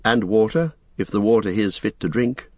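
A man voicing a gentle, earnest, courteous hobbit in an audiobook, speaking in a kindly, measured and polite way. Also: on the low side, husky, dry recording. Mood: matter-of-fact. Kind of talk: audiobook character acting.

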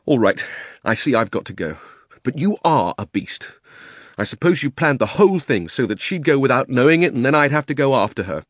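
A man reads as a plucky schoolboy in a children's story. He is indignant and blunt, accusing fiercely in a highly animated voice. The recording is close and dry. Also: a little fast, no echo. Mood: resolute, bitter. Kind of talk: dramatised storybook reading.

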